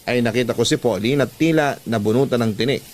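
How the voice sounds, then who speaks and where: A man's voice: medium pitch, fast talker. A man with a Filipino accent narrating a dramatic first-person story as a radio drama storyteller. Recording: music bed under the voice.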